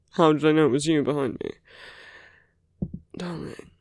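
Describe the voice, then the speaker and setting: A man voicing a charming, flirtatious villain with a tender side in an intimate ASMR roleplay, soft and teasing, often dropping to a whisper. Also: a little high, measured pace, no room sound, clean studio sound, lightly acted.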